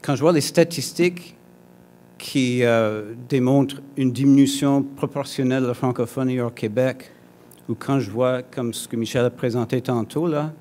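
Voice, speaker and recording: A man's voice: medium pitch, normal speed, dark tone. A man from Alberta whose first language is English, giving a conference talk in a conversational way, with restarts and joking asides to the audience. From Alberta, Canada. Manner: hesitant, frequent restarts and fillers. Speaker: conference speaker. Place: conference hall.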